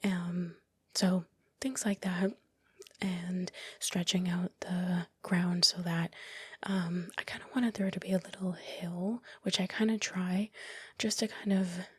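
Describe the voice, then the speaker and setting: A woman giving soft, unhurried ASMR commentary in a breathy whisper, explaining informally, close to the microphone. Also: lowish voice, little pitch movement, dry recording. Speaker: video creator.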